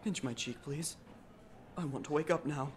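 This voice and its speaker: A performer in an anime fan dub playing a sardonic teenage high-school boy, dramatic and disbelieving. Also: moderate pace, a little hiss or hum, natural voice. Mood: numb, pleading.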